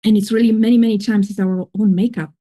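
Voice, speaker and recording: A woman with a foreign accent speaks as a podcast guest, earnest and reflective as she tells her story. Her tone is mellow and dark rather than bright, at a mid pitch for a woman. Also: fairly even intonation, fast. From grew up in another country. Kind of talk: casual interview talk.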